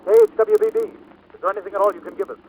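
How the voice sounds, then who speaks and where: Anxious, high-voiced radio operator. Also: male voice, huge pitch swings, rapid-fire, vocal fry, darkish tone, heavily acted, low-quality recording. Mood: anxious, insistent. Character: anxious, insistent male radio operator calling a plane. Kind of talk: 1940s radio drama acting. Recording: vintage radio recording, faint noise.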